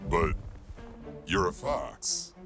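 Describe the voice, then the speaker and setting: A man's voice: low voice, very animated, extremely slow, heavily acted. A man playing a boastful, goofy, indignant panda sidekick in an audio drama, with exaggerated delivery. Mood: indignant, puzzled. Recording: faint music behind the voice.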